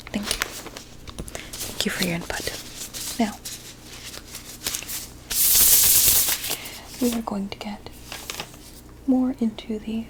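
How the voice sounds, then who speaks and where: A sinister lady doctor, acted out by a woman, whispers at a slow, measured pace in an ASMR roleplay. She is formal and soothing, gently coaxing her patient along. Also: medium pitch, close to an everyday voice, rough recording. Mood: calmly clinical. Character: sinister, sweetly coaxing berry-obsessed evil doctor.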